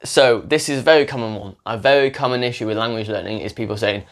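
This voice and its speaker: A man's voice: medium pitch, fast. Young man tutor, rambling to camera. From English-speaking country. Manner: rambling, self-correcting, many restarts. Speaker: language tutor. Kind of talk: unscripted talk to camera.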